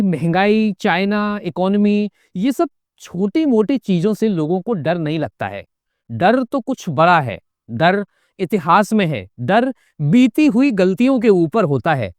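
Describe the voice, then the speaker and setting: A man's voice: high voice, darkish tone, dry recording, studio quality. A man narrating a scripted political commentary, pointed and emphatic, with sarcastic asides. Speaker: political video essayist.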